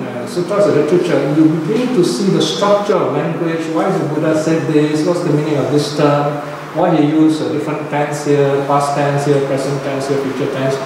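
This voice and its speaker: Older male dharma teacher, higher voice, chatty delivery, recorded from afar in a reverberant, noisy hall. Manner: conversational, checks in with okay and right. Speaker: Buddhist teacher. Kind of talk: dharma talk. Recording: echoey hall, distant mic, noisy.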